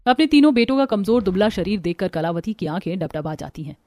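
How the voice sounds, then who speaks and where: A woman's voice: mid-range voice, animated, brisk, warm tone. A woman narrating a scripted cartoon story.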